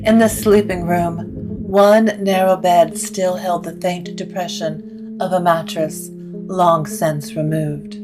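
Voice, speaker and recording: A woman narrating a scripted gothic story in a measured, literary way. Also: lowish voice, steady tone, music in the background.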